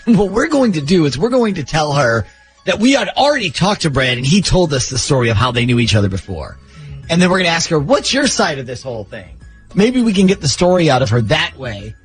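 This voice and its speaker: A male American radio host with a high voice that swings widely in pitch. He is chatty and joking, moving easily between banter and phone interviewing, with a faint music bed behind him. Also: big pitch swings, brisk, rough recording. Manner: joking, talkative. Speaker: radio host running a prank call segment.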